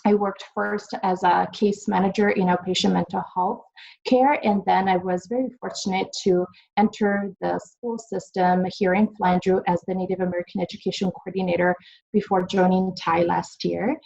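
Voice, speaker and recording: A woman from Italy, giving a webinar presentation over a video call and filling pauses with frequent ums and uhs. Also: mid-range voice, fairly even intonation, vocal fry, warm tone. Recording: dull lo-fi video call audio.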